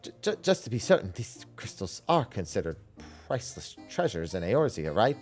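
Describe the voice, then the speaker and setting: A man voicing a boastful, smooth-talking merchant from a video game, with florid sales patter. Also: lowish voice, sing-song, moderate pace, a little mellow, faint music behind. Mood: puzzled, uneasy. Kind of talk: game character dialogue read aloud.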